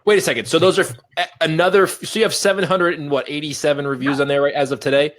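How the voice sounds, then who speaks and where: A man hosting a casual interview show, asking eager questions and reacting quickly. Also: on the high side, steady tone, fairly bright tone. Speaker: show host.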